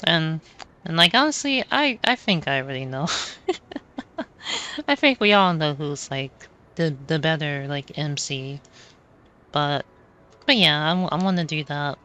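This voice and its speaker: A woman doing casual gameplay commentary, rambling and halting as she repeats words. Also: sits low, expressive, pushed and bright. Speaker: video game streamer.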